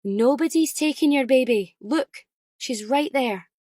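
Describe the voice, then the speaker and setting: Audiobook role: woman as no-nonsense female nurse, crisp, pleasantly firm, professionally soothing. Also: sits high, a little slow, a little mellow, no echo, clean studio sound, pitched above the performer's own voice. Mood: firm, reassuring.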